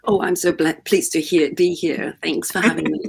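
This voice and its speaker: A woman from Austria, whose first language is German, speaks as a guest teacher in a relaxed, conversational way. Her voice is low, husky and gravelly. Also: normal speed. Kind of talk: interview answers.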